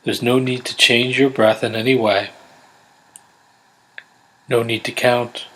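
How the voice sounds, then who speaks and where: A man guiding a body scan meditation in a gentle, unhurried, measured way, leaving pauses between instructions. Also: mid-range voice, steady tone, husky, low-quality recording. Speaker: meditation guide. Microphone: close USB condenser microphone.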